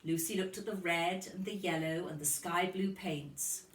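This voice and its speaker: A woman narrating a storybook for young children like a kindergarten teacher, expressive and deliberate. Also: on the low side, a little hiss or hum. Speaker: kindergarten teacher reading aloud. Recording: roomy, distant microphone.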